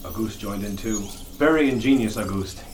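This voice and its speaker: A man's voice: on the low side, moderate pace, darkish tone, lightly acted. An actor playing a grim, haunted lighthouse keeper, telling his story with dramatic suspense in an audio horror drama. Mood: grim recollection. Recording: echoey, noisy radio play sound.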